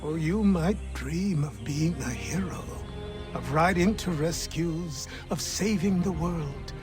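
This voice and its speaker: An actor playing a gruff, bitter older mentor who talks with curt, scornful sarcasm in a dramatic video game. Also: male voice, on the high side, slow, over music. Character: bitter, gruff old mentor guarding his land.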